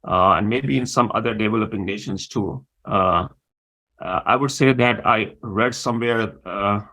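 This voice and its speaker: Halting man, gravelly voice. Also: lowish voice, pushed and bright. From Pakistan. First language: Urdu. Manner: halting, many pauses and fillers. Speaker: guest. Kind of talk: interview conversation.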